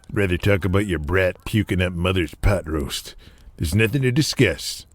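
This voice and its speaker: A man playing a gruff, stubborn older father who runs a wrestling promotion in an audio drama. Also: low voice, unhurried. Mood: dismissive. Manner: gruff, dismissive.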